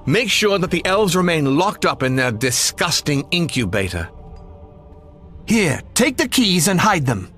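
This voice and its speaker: An actor playing a haughty, contemptuous governor in a video game, with pompous, commanding oratory. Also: male voice, on the high side, big pitch swings, measured pace, a bit bright, soft background music. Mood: brisk, commanding. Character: haughty, contemptuous male governor with supremacist zeal. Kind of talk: video game character acting. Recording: long hall-like reverb.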